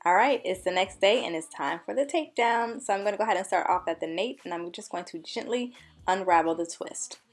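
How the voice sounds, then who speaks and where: A woman's voice: mid-range voice, fairly quick. A young woman beauty vlogger narrating a scripted tutorial voice-over in an upbeat, enthusiastic manner. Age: young adult.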